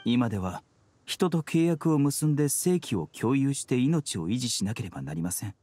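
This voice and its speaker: A man voicing a gentle, composed young healer in an anime game, speaking politely and formally. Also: medium pitch, big pitch swings, a little hiss or hum, lightly acted. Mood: calm, regretful. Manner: polite, soft-spoken, unhurried, formal. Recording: studio voice over background music.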